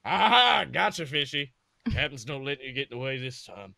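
A man's voice: mid-range voice, big pitch swings, moderate pace, vocal fry, pushed and bright, no echo, exaggerated voice. A roguish pirate captain, as a man reads him out, flippant and wary, in storybook style. The sound is close and dry. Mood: gleeful, boasting. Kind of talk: storybook character voice.

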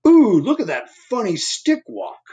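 A man's voice: big pitch swings, a little slow, creaky, warm tone, full character voice. A man voicing mocking, teasing animals from a picture book in an exaggerated, exclaiming way. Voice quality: high, exclaiming. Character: snooty teasing cat and birds from a picture book.

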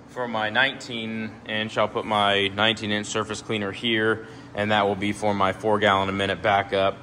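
A young man casually walking through his work trailer, talking in a relaxed, rambling way with lots of ums. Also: fairly low pitch, slight background noise. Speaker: pressure washing business owner. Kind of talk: casual walkthrough tour. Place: indoor metal trailer, shop garage. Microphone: handheld phone camera.

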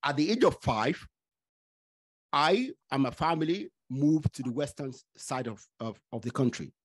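A middle-aged man from Nigeria, hosting a podcast from a home study with a studio mic, speaking deliberately with stuttering restarts. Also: mid-range voice, animated. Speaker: podcast host. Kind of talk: casual interview chat. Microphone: studio mic with headphones.

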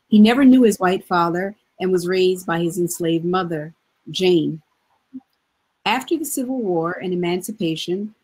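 A woman's voice: on the low side, a little mellow, breathy edge, low-quality recording. A middle-aged woman presenting scripted biographical narration over a video call. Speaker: presenter introducing readings. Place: room indoors, on a video call.

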